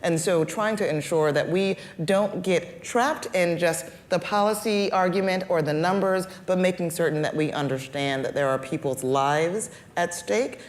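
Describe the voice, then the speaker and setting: A woman guest with a deeper voice answering on a panel, speaking in a deliberate, measured way with formal wording. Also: a little hiss or hum. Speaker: guest, healthcare executive.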